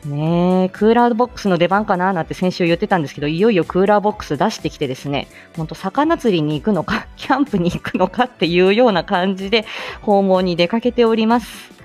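A woman's voice: medium pitch, expressive, quick pace, darkish tone. A woman hosts a casual livestream in a mid-range voice that moves up and down with lots of animation. She is polite and chatty, often breaking off to search for the next word. Faint music plays behind her. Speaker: host of a weekly morning livestream; speech-language therapist. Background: faint background music.

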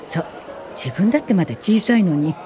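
An actress playing a resolute, compassionate female social worker and mentor in a radio drama, earnest and gently indignant. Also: on the low side, expressive, fairly quick, crowd noise, background noise, natural voice. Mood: admiring, concerned. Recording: studio radio drama with music underneath.

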